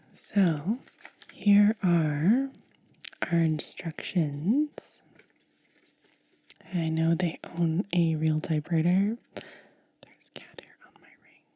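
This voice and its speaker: A woman ASMR host speaking in a hushed, breathy whisper with a lingering delivery, as in an unboxing. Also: low voice.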